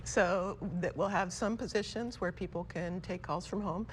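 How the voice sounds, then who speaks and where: A sheriff's office official answering questions in an unscripted broadcast interview, explaining in a measured way. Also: medium pitch, slight background noise, rough recording.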